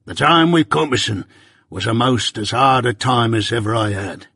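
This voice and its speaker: A man voicing a rough older male ex-convict recounting his past in rustic dialect, confiding and plain. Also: mid-range voice, moderate pace, pushed and bright, no room sound, clean studio sound.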